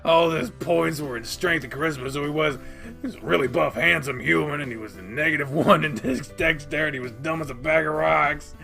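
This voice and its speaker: Man voicing a young furry gamer guy, boastful and giggly, bright gravelly tone, soft music behind. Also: on the high side, brisk. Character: excitable young male animal-person gamer.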